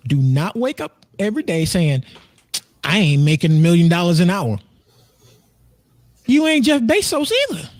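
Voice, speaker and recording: A man's voice: sits high, big pitch swings, normal speed, long pauses, no echo, clean studio sound. An American man in his thirties chatting casually as a co-host, joking, repeating himself and swearing freely. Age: 30s. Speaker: podcast co-host and streamer. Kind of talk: casual group chat.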